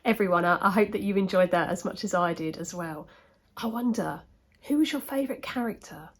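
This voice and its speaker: A woman's voice: on the low side, brisk. A woman with an English accent hosting, addressing viewers in a friendly, appreciative and encouraging way. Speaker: host introducing a reading.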